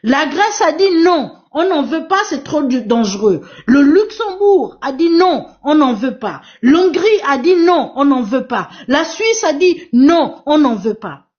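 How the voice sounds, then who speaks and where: A high-voiced woman whose first language is Portuguese speaks on a live online panel, pressing her points with emphatic repetition. Her voice comes through dull, lo-fi stream audio. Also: very animated, measured pace, hardly any pauses, a little mellow. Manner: emphatic, repetitive, exhorting the audience. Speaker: live stream moderator and activist. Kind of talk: impassioned live panel talk.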